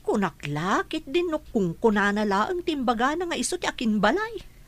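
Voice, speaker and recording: A woman's voice: a little high, very animated, normal speed. A woman acting a nosy, easily amazed village gossip in an Ilocano-accented radio drama, chatty and exclamatory. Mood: intrigued.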